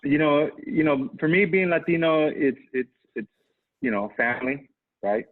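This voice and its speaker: A man speaking as a panelist on a video call, earnest and halting, with many restarts and "you know"s. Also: a little high. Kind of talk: casual panel conversation. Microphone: video call mic. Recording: tinny phone-like audio.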